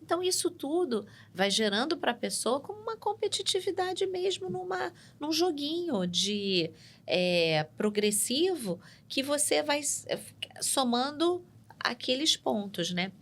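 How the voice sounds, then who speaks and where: Woman psychologist explaining calmly. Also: high voice. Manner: measured and explanatory, tags points with "né?". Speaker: psychologist guest. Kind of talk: explanatory interview talk. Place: podcast studio.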